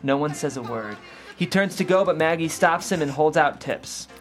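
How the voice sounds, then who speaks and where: A woman's voice: deep voice, lively intonation, moderate pace, faint music behind, some chatter behind. A woman hosting and reading aloud, as at a script table read. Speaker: host and script reader. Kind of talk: reading screenplay action aloud, then interviewing the writer.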